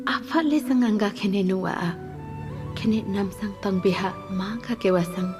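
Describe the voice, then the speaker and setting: A woman's voice: mid-range voice, moderate pace, natural voice. A woman performing as the narrator of a poetic futurist film. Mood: solemn, poetic. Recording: film clip with music underneath. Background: music.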